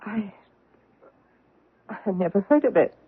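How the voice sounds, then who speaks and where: A guarded American society wife in a radio mystery. Her voice swings widely in pitch and has a slightly rough, husky edge. She is clipped and defensive, heard through a muffled old broadcast. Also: animated, takes it slowly, full character voice. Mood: uneasy, guarded. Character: guarded, proper married society woman hiding her origins. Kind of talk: radio mystery drama acting.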